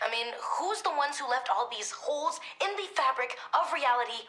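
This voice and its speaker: An actress playing a defiant, sharp-witted teenage girl in a video game, casual and dryly sarcastic. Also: high voice, lively intonation, normal speed, reverberant. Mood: flippant.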